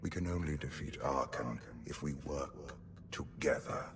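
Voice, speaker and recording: Very deep, slow, gravelly sinister emperor. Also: male voice, slight background noise. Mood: ominous, measured. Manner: slow, deliberate, ominous. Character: ancient ghostly male emperor, imperious, manipulative, patient.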